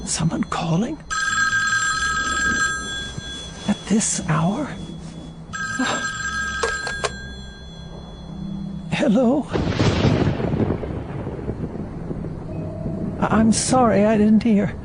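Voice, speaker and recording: A woman's voice: mid-range voice, expressive, a little slow, heavy background noise. A woman playing a frail, lonely, fretful elderly woman in a radio drama, speaking in a hesitant, proper way. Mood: puzzled, uneasy. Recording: radio play with music underneath.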